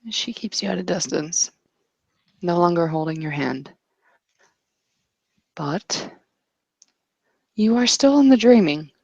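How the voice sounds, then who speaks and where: A woman game master improvising poetic scene narration for a tabletop roleplay, pausing as she searches for descriptions. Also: on the low side, animated, creaky, a little mellow, slightly rough, no echo.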